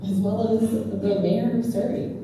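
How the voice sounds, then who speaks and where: A woman's voice: mid-range voice, steady tone, unhurried, warm tone. A woman interviewer asking questions in an encouraging, affirming way. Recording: echoey room, distant mic, noisy.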